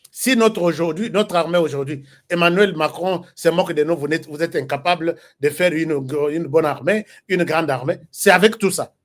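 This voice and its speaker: Congolese male commentator, high voice, fiery rhetorical delivery, hammers his points. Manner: emphatic, rhetorical, repeats phrases for force. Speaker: political commentator. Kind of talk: impassioned political commentary.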